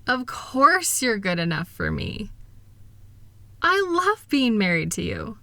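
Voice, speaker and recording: Casting: female actor for a tender, reassuring wife in a comfort audio roleplay; soothing, slow delivery, very dry intimate sound. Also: mid-range voice, huge pitch swings, no echo, full character voice. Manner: gentle, soothing, affectionate. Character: loving, reassuring wife comforting her spouse.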